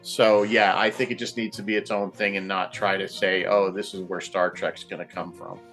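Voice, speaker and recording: A man speaking as a guest in a casual roundtable, halting and often repeating words. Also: low-pitched, level tone, a bit bright, faint music behind. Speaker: panel discussion guest.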